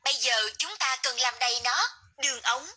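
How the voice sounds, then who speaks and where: A woman's voice: high-pitched, normal speed. A woman narrating a children's game in short, scripted, encouraging instructions. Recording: loud game noise over voice. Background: loud game sound effects and ambience.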